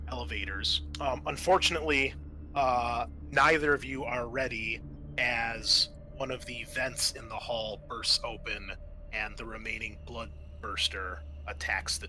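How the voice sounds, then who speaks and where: A man acting as game master, narrating and refereeing a horror roleplaying game in a halting way with stretched, dramatic emphasis. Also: mid-range voice, bright, forceful tone. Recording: background music under the voice.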